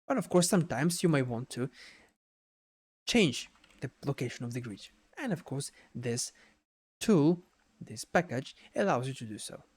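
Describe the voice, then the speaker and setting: A man giving an unscripted software tutorial in a halting way, repeating words and adding little checking tags as he explains each step. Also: medium pitch, very animated, breathy edge. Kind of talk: unscripted screen-share tutorial narration.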